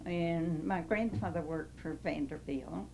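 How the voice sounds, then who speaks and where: A woman, gently recalling where her family lived. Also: low voice, unhurried, vocal fry, background noise. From Western North Carolina. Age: 90s. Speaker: interviewee.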